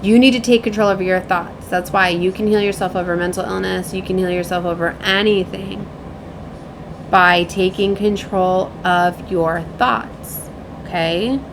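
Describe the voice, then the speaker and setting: A woman's voice: medium pitch, steady tone, normal speed, background noise. A woman in her late thirties giving a casual, rambling spiritual reading as a tarot reader, with an emphatic manner.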